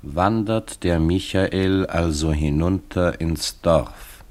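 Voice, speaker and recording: An actor playing the sombre narrator of a poetic radio play, reading scripted scene-setting lines. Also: male voice, sits low, slow, darkish tone. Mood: measured.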